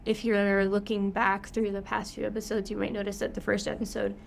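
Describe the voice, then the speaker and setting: A woman's voice: mid-range voice, level tone, brisk, vocal fry, a little hiss or hum. A young woman co-hosting a podcast, talking in a casual, upbeat way while she has a cold. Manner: upbeat, thinking aloud with fillers. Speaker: podcast co-host, yoga teacher.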